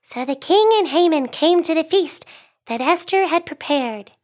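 A woman's voice: extremely high pitch, lively intonation, moderate pace. A woman voicing a cheerful young male monkey who tells a Bible story on a school radio show. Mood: storytelling. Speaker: segment host.

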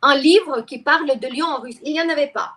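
A middle-aged woman from Ukraine speaks, her being Russian. She talks at length as an interview guest, restarting her sentences often and filling pauses. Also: high voice, fairly bright tone. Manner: talkative, many restarts and fillers. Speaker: guest expert. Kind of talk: interview conversation.

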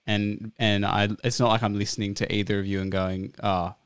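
An Australian man hosting a podcast, talking in a relaxed, thoughtful way with plenty of fillers. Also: lowish voice, normal speed, clear, resonant tone, dry recording, clean studio sound. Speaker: podcast host. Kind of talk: casual interview chat.

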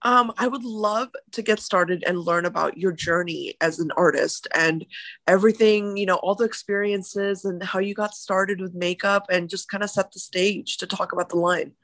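A woman podcast host greets her guest and asks about her journey with eager, gushing enthusiasm. Her voice sits at a middle pitch and sounds dry and close, with no room around it. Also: steady tone, moderate pace, fairly bright tone, no room sound. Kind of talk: interview hosting.

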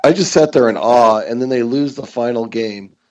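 A man's voice: medium pitch, moderate pace, poor audio. A man co-hosting a casual sports podcast, wisecracking and laughing at his own jokes. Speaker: podcast co-host.

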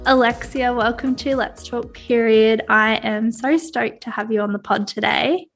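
A woman's voice: a little high, unhurried, soft background music. A woman, speaking as the host of a podcast. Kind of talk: scripted intro and casual podcast chat.

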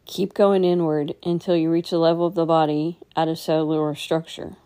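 Low, mellow woman guiding meditation. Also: little pitch movement. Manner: gentle, unhurried, instructing. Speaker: meditation teacher. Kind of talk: guided meditation.

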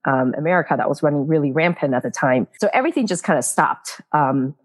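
A woman from Taiwan answers interview questions about her writing in a chatty, easygoing way. Her voice sits low for a woman, and she sprinkles her talk with fillers and light laughter. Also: lively intonation, fast talker. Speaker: author being interviewed.

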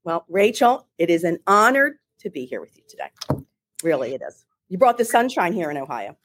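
A middle-aged woman with a Philadelphia accent, telling her career story in an interview in a chatty, animated way with many restarts. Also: on the low side, brisk. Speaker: marketing executive, guest. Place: home or office in Ohio, remote call.

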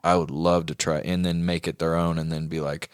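A man's voice: extremely low pitch, little pitch movement, brisk, smooth, no room sound, clean studio sound. A man hosting a casual guitar talk show, chatting in an informal, emphatic, slangy way. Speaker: show host.

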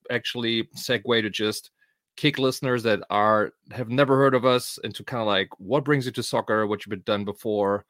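A man's voice: medium pitch, a bit bright, no echo, clean studio sound. A middle-aged man from Germany, a native German speaker with a German accent, co-hosting a podcast in casual, loose, colloquial chat. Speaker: podcast co-host.

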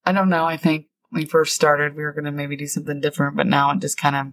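Middle-aged woman, low voice, casual bible-study chat, dry close sound. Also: level tone, no echo. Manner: warm, explanatory, reads definitions slowly. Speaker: podcast co-host, Bible teacher.

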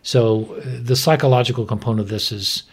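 A middle-aged American man, a doctor, explaining medical matters informally in a frank, measured way. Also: mid-range voice, fairly even intonation, slightly rough. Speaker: maternal fetal medicine doctor, podcast expert.

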